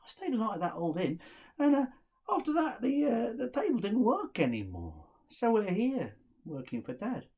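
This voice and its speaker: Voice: medium pitch, huge pitch swings, moderate pace, darkish tone, slightly rough, voice pushed up, exaggerated voice. A storyteller voicing a dejected elder brother in a folktale, a glum, plain-spoken man.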